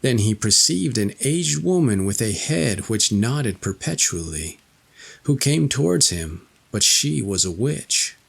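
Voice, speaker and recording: A man narrating a fairy tale from a script, with a gravelly, husky voice. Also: mid-range voice, big pitch swings, measured pace. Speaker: storyteller, narrator.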